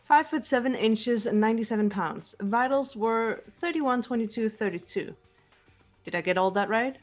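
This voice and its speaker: A woman voicing a cool, analytical teenage girl detective, calm and measured, lecturing with precise logic. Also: on the high side, faint music behind, close to an everyday voice. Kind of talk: amateur voiced game playthrough.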